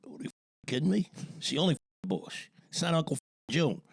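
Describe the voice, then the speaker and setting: A man's voice: on the high side, sing-song, quick pace, vocal fry, slightly rough, no room sound, studio quality, voice pushed up, heavily acted. A man putting on a tough, swaggering mob-boss type. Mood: boastful.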